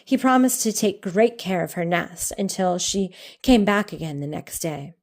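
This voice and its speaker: A woman narrates a children's storybook, reading aloud in an expressive, deliberate way. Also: fairly low pitch.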